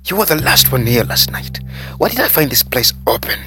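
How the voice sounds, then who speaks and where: A man's voice: mid-range voice, sing-song, brisk, sharp tone, soft background music, voice pushed up. A man voicing a harsh, bullying female restaurant manager who scolds mockingly in a story. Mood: scornful, threatening.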